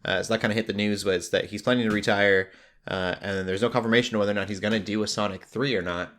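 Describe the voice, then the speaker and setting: A man's voice: on the low side, steady tone, quick pace, a bit bright. A young adult man hosting a casual conversation about movies. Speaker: podcast host.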